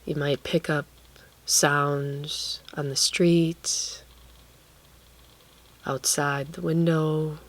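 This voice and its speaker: A woman guiding a relaxation meditation, speaking gently and leaving long pauses between phrases. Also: deep voice, little pitch movement. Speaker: guided meditation teacher.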